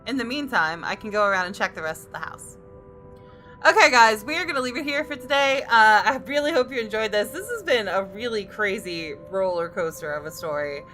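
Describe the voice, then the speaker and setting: A woman gaming creator reading visual novel narration aloud, with expressive reactions. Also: medium pitch, fairly quick, soft background music. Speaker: gaming YouTuber.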